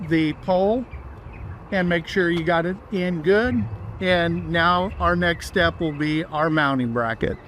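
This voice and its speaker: A man's voice: high voice, fairly bright tone, a little hiss or hum. A man giving an instructional walkthrough as a trainer, explaining each step plainly.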